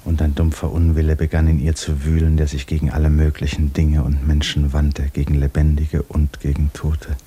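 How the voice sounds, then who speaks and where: A man narrating a literary radio drama in a grave, measured, restrained manner. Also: extremely low pitch, monotone, dark tone. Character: sombre male literary narrator. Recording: faintly noisy old radio recording.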